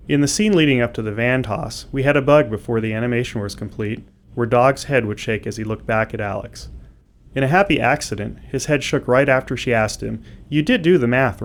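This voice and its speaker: A man reads developer commentary from a script. His voice is middling in pitch, in the narration of someone explaining a game.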